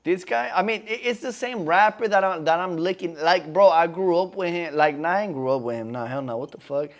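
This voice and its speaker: A man's voice: on the high side, a little fast. A man in his twenties chatting casually on a live stream in slangy talk, often calling viewers "bro". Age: 20s.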